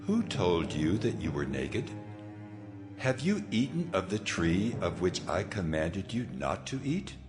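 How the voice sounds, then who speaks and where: An actor playing a stern, commanding God in a dramatized audio Bible, solemn and authoritative. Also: male voice, deep voice, big pitch swings, unhurried, music in the background. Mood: stern questioning. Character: stern, commanding Lord God passing judgment.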